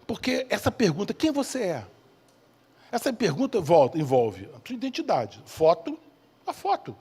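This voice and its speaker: A male preacher with a Rio Brazilian accent delivers a sermon in a husky, high-set voice. His delivery swings widely up and down as he hammers phrases and throws out rhetorical questions. The sound rings with the long reverb of a hall. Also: wildly swooping pitch, normal speed, darkish tone. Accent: Brazilian, from Rio de Janeiro. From Rio de Janeiro, Brazil. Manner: emphatic, repeats key phrases, asks rhetorical questions. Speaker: preacher, bishop. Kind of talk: evangelical sermon. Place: church service in a large hall.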